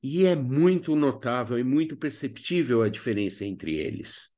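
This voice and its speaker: A man's voice: mid-range voice, expressive, moderate pace, darkish tone. A man with a Brazilian accent presenting an instructional lesson in a didactic way, as a workshop teacher. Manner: didactic, asks rhetorical questions and answers them. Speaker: radio theatre workshop instructor.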